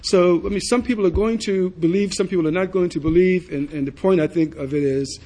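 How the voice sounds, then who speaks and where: Husky halting man at a forum. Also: high voice, mellow. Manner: halting, restarts words. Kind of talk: public forum discussion.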